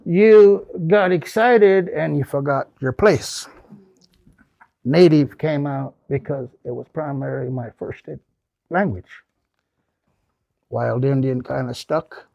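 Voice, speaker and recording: An older man from the US Pacific Northwest tells rambling, folksy stories to a small audience. His voice is gravelly and sits a little high, recorded dry and close. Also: slow, long pauses, a little mellow, no room sound, dull audio. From Pacific Northwest, United States. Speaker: guest speaker. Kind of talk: storytelling talk with audience questions.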